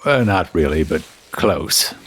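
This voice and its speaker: A man's voice: deep voice, animated, slow pace, a bit bright, slight background noise, exaggerated voice. A man playing a formal, bookish and haughty adventurer in a fantasy audio drama. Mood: musing, puzzled.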